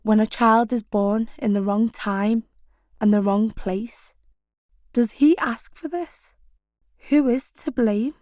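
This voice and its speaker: Slow, solemn woman poet reciting. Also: fairly high pitch, a little mellow, dry recording, studio quality. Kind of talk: spoken-word poetry reading.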